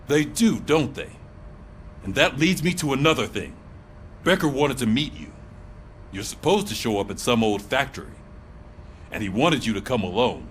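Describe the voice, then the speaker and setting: Casting: skeptical police colleague for a game, male actor, mid-pitched, animated, probing, faint background noise. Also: moderate pace, pauses often, lightly acted. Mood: wary, probing. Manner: conversational, probing, wary. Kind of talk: video game cutscene acting.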